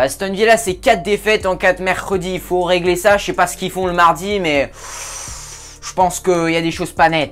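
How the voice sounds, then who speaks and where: A man's voice: high-pitched, fairly bright tone. A man hosting a casual football talk show, chatting and joking with viewers, with frequent hesitations. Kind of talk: casual football commentary vlog.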